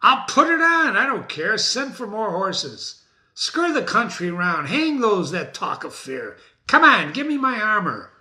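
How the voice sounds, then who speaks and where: A defiant tyrant king of the tragic stage, acted out by a man reading aloud. His pitch is raised and swings widely through commanding Shakespearean verse. He is world-weary and raging by turns, taking his time over the words. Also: big pitch swings, unhurried, heavily acted. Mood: stubborn, urgent. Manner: commanding, dramatic, with sudden shouts.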